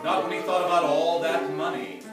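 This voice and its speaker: A man's voice: a little high, level tone, fairly quick, far from the mic, natural voice. A man playing the narrator of a comic radio play, telling the tale in a mock-dramatic way. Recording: echoey live venue, loud piano behind.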